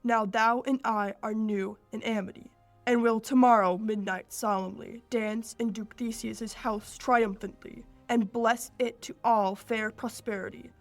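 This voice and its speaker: A young woman playing a proud fairy king, reciting Shakespearean verse in a measured, declamatory way for a radio play. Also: a little high, fairly even intonation, no room sound, faint music behind. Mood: stately. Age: teens or young adult.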